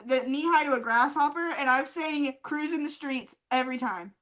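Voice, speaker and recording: A high-pitched woman with a bright, forceful voice co-hosts a casual comedy podcast. She tells stories with heavy emphasis and plenty of swearing. She likes to repeat phrases to drive them home. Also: normal speed, low-quality recording. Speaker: podcast co-host. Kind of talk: casual comedic chat, storytelling.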